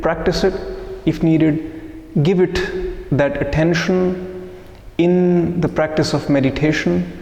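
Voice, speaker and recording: A man leading a guided meditation session for a group, speaking in measured, deliberate phrases with pauses. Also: fairly high pitch. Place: echoey indoor room, live group session. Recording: echoey room with long reverb.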